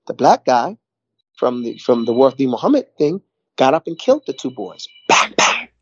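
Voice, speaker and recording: Husky-voiced middle-aged New man. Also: mid-range voice, very animated, moderate pace, no room sound, highs cut off. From New York. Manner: rambling, repeats words, frequent "you know". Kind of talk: casual conversation.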